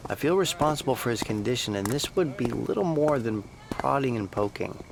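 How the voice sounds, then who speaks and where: A man's voice: mid-range voice, lively intonation, a little fast, creaky. Man doing a guarded earnest researcher guy, audio drama, noticeable noise behind him. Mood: worried, earnest. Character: conscientious male researcher, worried and guarded.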